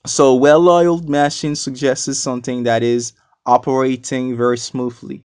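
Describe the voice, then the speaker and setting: A man from Haiti, a non-native English speaker, giving a scripted English lesson with deliberate, explanatory enunciation. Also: mid-range voice, little pitch movement, darkish tone, clean tone, no echo, clean studio sound. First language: not a native English speaker. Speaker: English teacher. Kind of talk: scripted educational narration.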